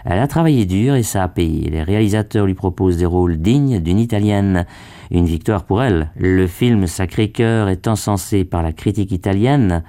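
Low, dark-voiced male radio presenter. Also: no room sound, clean studio sound. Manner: measured, formal reading. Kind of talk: scripted radio feature narration.

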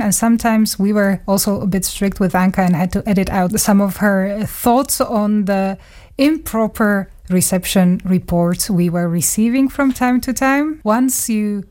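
A woman's voice: medium pitch, unhurried, a little mellow. A woman radio journalist recalling a colleague in a thoughtful, conversational way, with small laughs between phrases, recorded in a radio studio. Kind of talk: conversational reminiscence.

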